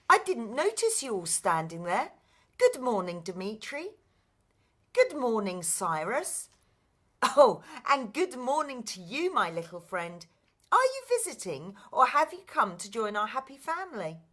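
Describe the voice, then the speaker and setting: A woman playing a sweet, cheerful, kindly young heroine in a children's radio play, with a gentle, friendly manner. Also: a little high, big pitch swings, a little slow, full character voice.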